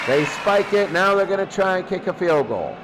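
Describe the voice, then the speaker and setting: High-voiced male football announcer, noisy. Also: very animated, normal speed, crowd noise. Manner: clipped, present-tense calls. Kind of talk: live sports commentary. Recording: loud noise behind the voice.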